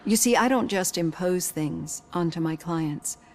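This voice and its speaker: A woman playing a composed, probing psychologist in a video game, speaking in a measured, articulate, clinical way. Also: fairly low pitch, close to an everyday voice. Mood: confiding, measured. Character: composed, probing female psychologist with prosthetic hand.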